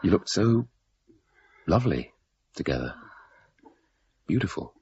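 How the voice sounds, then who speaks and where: An actor playing a wistful, tormented middle-aged father with a British accent, gravelly, husky and halting. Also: sits low, big pitch swings, darkish tone, highs cut off, full character voice. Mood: wistful, confessional. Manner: halting, brooding, intense. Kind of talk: radio drama acting.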